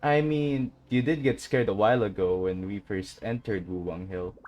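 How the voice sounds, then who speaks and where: A woman's voice: extremely low pitch, moderate pace, dull audio. A woman giving short, casual reactions and commentary while playing through game dialogue. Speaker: gamer, streamer. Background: game music.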